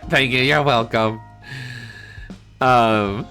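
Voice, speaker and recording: A man chatting casually on a live stream in an animated, hesitant way, reading out and answering viewer comments. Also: medium pitch, extremely slow. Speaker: livestreamer and amateur voice actor. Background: piano background music.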